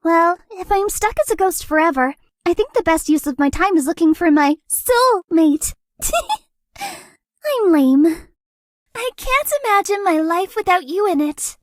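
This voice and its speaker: A very high, girlish voice as a cute young female ghost in a video game. She speaks slowly and hesitantly, with a bubbly, self-deprecating sweetness and an apologetic, awkward manner. The sound is close and dry. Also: big pitch swings, dry recording, full character voice. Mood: affectionate, playful.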